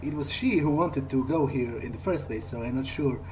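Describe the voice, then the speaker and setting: A man giving in-character video game commentary, thinking aloud in a halting, musing way. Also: mid-range voice, a little mellow, a little hiss or hum, poor audio. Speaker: gamer doing an in-character playthrough. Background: game music.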